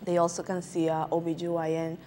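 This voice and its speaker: A woman from Peru, answering interview questions as a guest physician in a halting way with many filler sounds. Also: lowish voice, monotone, dark tone, slight background noise.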